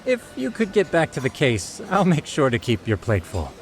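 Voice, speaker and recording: Polite earnest detective leader in a game, formal wording, really animated pitch. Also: male voice, moderate pace, slight background noise. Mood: courteous. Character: courteous, earnest male detective agency leader. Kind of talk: video game cutscene voice acting.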